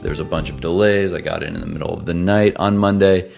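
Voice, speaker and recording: A tired, hoarse man hosting a sports picks show, talking casually and rattling off stats from his desk at home. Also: low voice, steady tone, brisk, darkish tone, faint music behind. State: tired from travel. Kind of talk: casual sports betting analysis.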